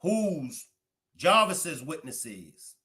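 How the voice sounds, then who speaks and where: A man's voice: a little high, big pitch swings, very slow, sharp tone. A man hosting a livestream about crime cases, repeating his questions to the chat for emphasis. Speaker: livestream host. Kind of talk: true crime livestream commentary.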